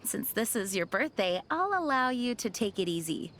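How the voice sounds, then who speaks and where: A woman voicing an earnest, dutiful young knight commander in a video game, speaking in a formal, measured way. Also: mid-range voice, sing-song, fairly bright tone, slight background noise. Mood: gracious, warm. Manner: formal, measured, solemn diction. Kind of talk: video game character voice lines.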